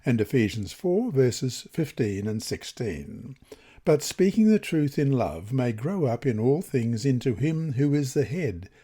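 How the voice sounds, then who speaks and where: A man reads a scripted religious lesson in a deliberate, expressive manner with measured phrasing. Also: medium pitch, slightly rough. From Australia. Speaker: reader of Bible study lessons.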